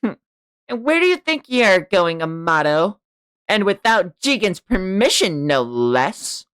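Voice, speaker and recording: Male reader as female manga villain: haughty, mocking, raised pitch. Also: very animated, measured pace, fairly bright tone, clear, resonant tone, heavily acted. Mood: taunting. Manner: mocking questions.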